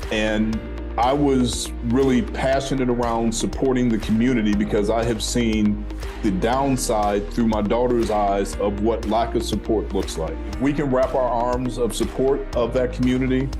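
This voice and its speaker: A middle-aged man with a mid-range voice gives an earnest testimonial. He phrases things like a corporate executive, and guitar music plays clearly behind him. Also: medium pitch, fairly even intonation, moderate pace. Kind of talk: interview testimonial.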